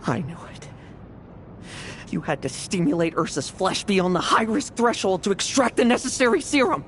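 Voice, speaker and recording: Cool young knight for a game, slightly high voice, composed n wry, a bit dramatic. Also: male voice. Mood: grave. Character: cool, self-assured young knight vice captain, wryly caring. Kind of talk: video game voice acting.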